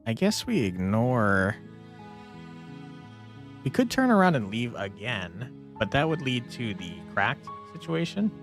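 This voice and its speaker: A man's voice: mid-range voice, very animated, a little slow. A man hosting a gameplay video, casually musing aloud and reading on-screen text. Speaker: gaming video host. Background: game music.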